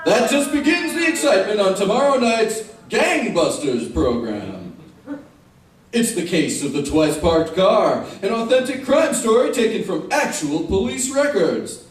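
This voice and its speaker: A man's voice: high voice, sing-song, a little slow. A man with an American accent announcing on 1950s radio in an emphatic, formal style. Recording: echoey, distant old radio recording, faint noise.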